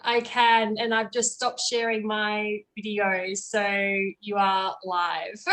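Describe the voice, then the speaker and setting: A woman's voice: mid-range voice, flat delivery, takes it slowly, fairly bright tone. A woman hosting an online event and introducing speakers. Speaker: event host.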